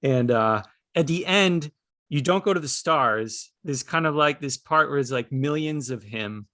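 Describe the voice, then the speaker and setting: A man's voice: on the high side, unhurried, pushed and bright, no echo, studio quality. A man asking questions in a casual, thoughtful discussion, hesitantly searching for his words.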